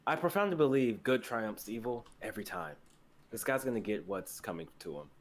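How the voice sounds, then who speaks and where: A man's voice: medium pitch, sing-song, brisk, close to an everyday voice. A young man playing an optimistic, easygoing store worker in a dramatic script reading. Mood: confident. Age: young adult.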